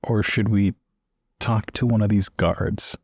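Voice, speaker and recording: Very deep-voiced man roleplaying at the table. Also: steady tone, slow, a little mellow, no room sound, clean studio sound. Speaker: tabletop game player. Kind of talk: casual in-character roleplay and narration.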